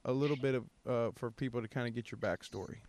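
A man's voice: mid-range voice, fast, darkish tone, a little hiss or hum. A man hosting a podcast in a casual, conversational style, introducing the show and his guest. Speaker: podcast host.

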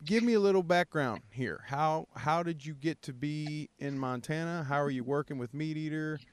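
A man's voice: fairly high pitch, normal speed, clear, resonant tone. A man hosting a podcast in a casual, conversational style, introducing the show and his guest. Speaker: podcast host.

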